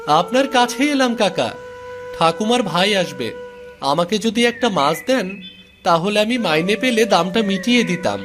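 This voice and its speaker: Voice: medium pitch, animated, moderate pace, music in the background, close to an everyday voice. A man voicing a poor, earnest young working grandson in a cartoon story, speaking politely and pleadingly.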